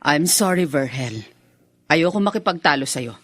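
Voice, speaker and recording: An actress playing a defiant, scornful wife in a Filipino radio drama, cool and mocking in manner, with a Filipino accent. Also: female voice, low-pitched, animated, normal speed, no echo, studio quality. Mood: restrained, defiant. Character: defiant, scornful second wife accused of infidelity.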